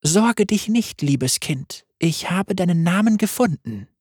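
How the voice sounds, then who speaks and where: A man voicing a gentle, eerie female death spirit, speaking softly and calmly in a light, breathy tone. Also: sits high, unhurried, no echo, studio quality, pitched above the performer's own voice, exaggerated voice. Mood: soothing eerie. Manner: soft, lilting, calmly consoling.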